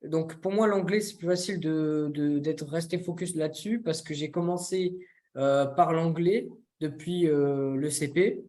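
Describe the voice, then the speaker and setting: A young man from France, co-hosting a casual discussion and often pausing to search for words. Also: a little high, a little mellow. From France, near Toulouse. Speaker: co-host.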